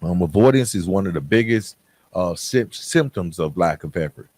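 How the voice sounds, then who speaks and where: A man's voice: low voice, expressive, normal speed, darkish tone. A man hosting a motivational podcast, talking in an earnest, direct and conversational way with emphatic repetition. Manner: conversational, colloquial. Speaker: podcast host.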